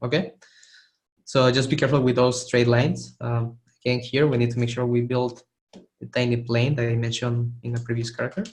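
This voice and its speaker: A man teaching a live online art webinar, hoarse and losing his voice, who keeps clearing his throat and gives casual, encouraging feedback with frequent restarts. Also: medium pitch, level tone, moderate pace. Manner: casual, encouraging, restarts phrases while demonstrating. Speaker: art instructor giving feedback. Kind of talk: live webinar critique of 3D sculpts.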